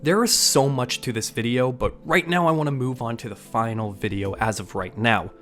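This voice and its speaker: A man's voice: medium pitch, moderate pace, fairly bright tone. A man hosting an online video, giving scripted breakdown narration with casual touches. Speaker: YouTube host and narrator. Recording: voice-over with music underneath. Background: music.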